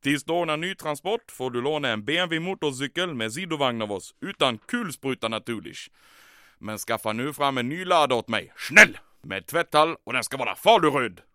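A pompous german commandant for a radio drama, sharp bright voice, super animated, bossy and gloating. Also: male voice, mid-range voice, no room sound, studio quality. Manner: commanding, breezy, gloating, peppered with German phrases. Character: pompous, domineering male German army commandant in wartime. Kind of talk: old-time radio drama acting.

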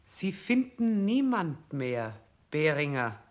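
Icy strict queen, unsparing and clipped, lively pitch, radio play style. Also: slow pace, full character voice. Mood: cold, solemn. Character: stern, cold, commanding queen. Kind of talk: 1960s radio play acting.

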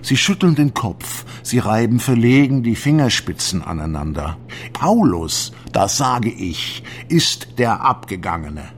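A troubled male disciple of the early church in a radio drama. He declaims slowly in archaic language, solemn and deliberate, with bitterness and indignation toward those who have cast him out. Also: mid-range voice, big pitch swings, a little hiss or hum. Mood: scornful, wounded. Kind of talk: literary radio drama monologue.

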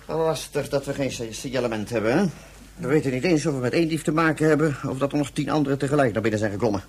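A man's voice: medium pitch, quick pace, breathy edge, close to an everyday voice. A man playing a fussy, grumbling moon police officer in a science-fiction radio play, official and repetitive in manner. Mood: fretful, complaining.

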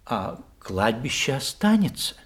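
Very husky, earnest polite visitor. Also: male voice, medium pitch, big pitch swings, measured pace. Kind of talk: theatrical radio play acting.

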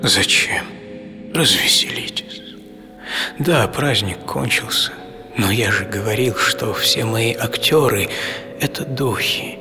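Actor as whispering, weary old magician. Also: male voice, lowish voice, big-room sound, over music. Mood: sorrowful, dreamy. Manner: breathy half-whisper, slow, drawn out. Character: weary old magician reciting, dreamy and sorrowful. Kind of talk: Shakespearean stage recitation.

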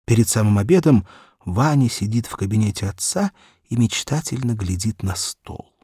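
A male audiobook narrator tells a children's story with theatrical expression. His pitch moves widely, his voice has a gravelly, husky grain, and the sound is close and dry. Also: animated, moderate pace, warm tone, dry recording.

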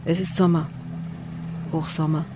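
A woman's voice: deep voice, flat delivery, a little slow, music in the background. An actress playing an intense, weary, anguished woman in a literary radio drama. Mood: weary, yearning.

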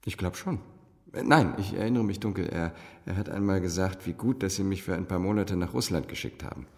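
An actor playing a wealthy, guarded businessman in a radio drama, formal and quick to take offence. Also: deep voice, creaky, darkish tone. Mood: guarded, businesslike. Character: wealthy businessman father, guarded, indignant when questioned. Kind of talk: German radio drama acting. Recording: reverberant room.

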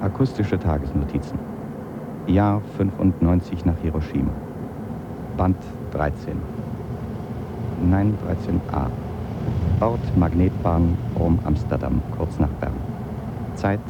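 Radio drama diarist: deep, terse, methodical dictation, heavy travel noise. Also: male voice, measured pace, creaky, pauses often. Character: man dictating a methodical acoustic logbook while travelling. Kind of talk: clipped audio-diary dictation in radio drama. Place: aboard a moving train, as staged. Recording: dull sound, loud vehicle noise.

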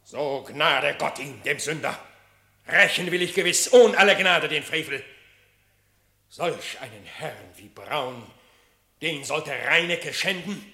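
A solemn, vengeful king of beasts in a radio fable. The voice is sharp and forceful, declaiming at a measured pace. It rings in a roomy space. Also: fairly high pitch, exaggerated voice. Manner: declamatory, regal. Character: indignant male animal king, solemn and vengeful. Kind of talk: classic verse fable radio play. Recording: roomy echo.

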